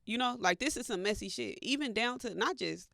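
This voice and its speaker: A woman's voice: a little high, animated, brisk, creaky, a bit bright, no echo, clean studio sound. An American woman co-hosting a talk show, chatting casually in blunt, slangy language. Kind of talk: casual culture gossip chat.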